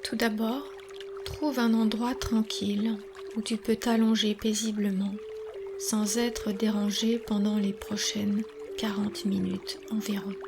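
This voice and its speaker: A woman guides a relaxation session at a very slow pace, in a calm, soothing and instructional manner. She leaves long pauses between phrases. Music plays clearly behind her, with a trickle of water. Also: mid-range voice. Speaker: yoga nidra guide.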